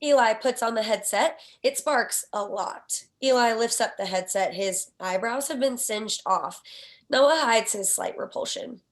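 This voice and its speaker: High-voiced woman reading stage directions. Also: unhurried. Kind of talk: scripted screenplay narration at a table read.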